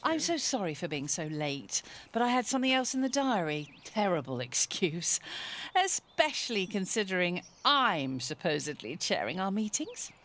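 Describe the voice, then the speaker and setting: A woman's voice: medium pitch, sing-song, moderate pace, a bit bright. An actress playing a flustered committee chairwoman in a radio soap, formal and profusely apologetic with a polite bristle. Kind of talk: naturalistic radio soap acting.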